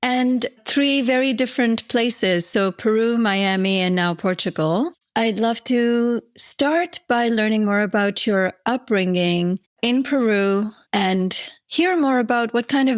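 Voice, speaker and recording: A woman's voice: medium pitch, measured pace, few pauses, dry recording, studio quality. A woman hosting an interview podcast, delivering a scripted introduction and asking questions in an encouraging way. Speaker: podcast host. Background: guitar music.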